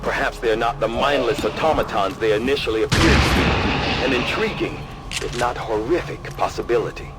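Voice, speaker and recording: An actor playing a scientist in a video game, analysing monsters in a formal, articulate, intrigued manner. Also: male voice, medium pitch, brisk, very noisy, close to an everyday voice. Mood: measured intrigue. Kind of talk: scripted video game dialogue.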